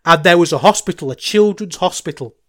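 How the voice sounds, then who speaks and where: Excitable Manchester man, rambling podcast talk. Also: high voice, a little fast. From England. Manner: enthusiastic, rambling, gets loud when excited. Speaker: podcast host. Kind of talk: casual solo podcast chat.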